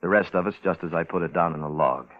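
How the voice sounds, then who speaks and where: A man acting a low-voiced, clipped military team leader. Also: fast, soft background music, natural voice. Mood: grim, terse. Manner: clipped, matter-of-fact. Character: steady, pragmatic military photo team leader keeping a log. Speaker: lead actor and narrator. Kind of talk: 1950s radio drama acting, terse log narration. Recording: noisy, tinny 1950s radio recording.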